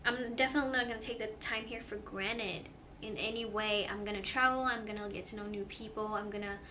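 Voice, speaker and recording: A woman's voice: mid-range voice, fairly even intonation, fairly quick, sharp tone, slight background noise. A young woman from Sweden, a native Swedish speaker, talking casually to her camera in an earnest, hesitant way, with many fillers and restarts. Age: young adult. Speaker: vlogger. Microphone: camera mic.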